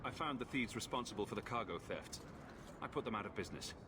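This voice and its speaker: An actor playing a composed, pragmatic adventurer in a fantasy video game, speaking directly and firmly. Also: male voice, lowish voice, animated, fast talker, close to an everyday voice. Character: assured, pragmatic male adventurer, calmly firm. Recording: noisy game ambience.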